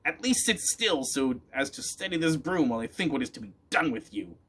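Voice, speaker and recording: A man voicing a shrill, bossy female witch who shrieks indignantly in a storybook reading. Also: sing-song, brisk, fairly bright tone, breathy edge, full character voice. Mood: calmer, stern.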